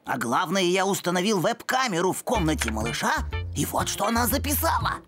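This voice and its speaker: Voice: mid-range voice, sing-song, brisk, close to an everyday voice. An actor playing a pedantic, bookish brainy boy in a children's cartoon, speaking precisely like a young scientist. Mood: matter-of-fact. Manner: precise, scientific, matter-of-fact. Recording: music behind the dialogue.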